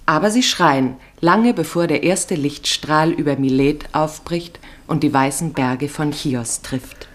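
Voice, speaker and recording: A low-pitched female narrator from a 1950s radio feature. She tells a literary travel story in the first person, declaiming it carefully and poetically. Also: measured pace, hardly any pauses.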